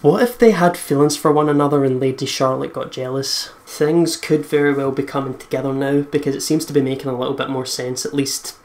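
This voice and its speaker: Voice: medium pitch, fairly even intonation, fairly quick, hardly any pauses, a little mellow. A let's play host commentating casually on a game in an informal, sweary way.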